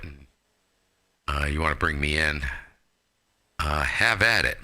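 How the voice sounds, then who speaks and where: A man's voice: sits low, pushed and bright. A man chatting casually as a ham radio operator and host, in an informal, rambling way. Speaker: amateur radio operator and live stream host.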